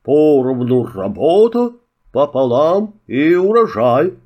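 A man doing a slow, heavy elephant. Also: on the high side, big pitch swings, a little mellow, exaggerated voice. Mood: wary. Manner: slow, weighty and distrustful. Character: slow, suspicious, hulking male elephant.

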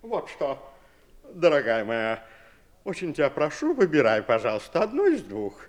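An actor playing a harried, fussy father and businessman in a 1960s radio play, speaking with deliberate emphasis. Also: fairly high pitch, huge pitch swings. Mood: firm, exasperated.

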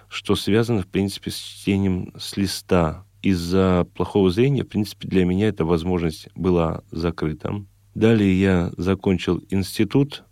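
Male interview guest, deep voice, very dry studio sound, reflective conversational delivery. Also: mellow, no echo. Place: radio studio.

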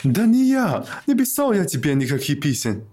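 A man's voice: on the high side, very animated, moderate pace, warm tone. A man acting a touchy, boastful young schoolteacher suitor in a radio play, defensive and self-important.

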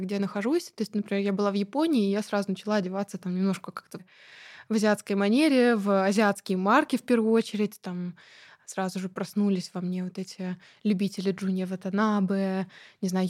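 Chatty woman guest, mid-pitched voice. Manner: chatty and animated, with many fillers and light laughs. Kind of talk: casual conversational interview.

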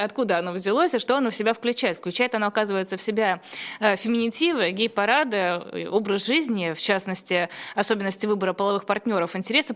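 A woman hosting a radio talk show, reading out news and asking her guest questions with ironic disbelief. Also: mid-range voice. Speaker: radio host. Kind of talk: interviewing a guest on air. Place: radio studio.

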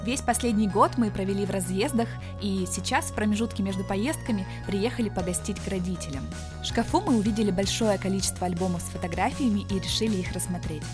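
A woman's voice: medium pitch, quick pace, over music. A woman in her mid-twenties co-hosting a conversational podcast. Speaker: podcast co-host.

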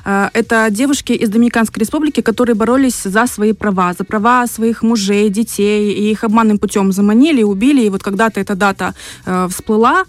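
A woman's voice: mid-range voice, brisk, no room sound. Woman guest explaining in a dry studio. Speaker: guest, representative of a women's aid organisation. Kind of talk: radio interview, explaining. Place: radio studio.

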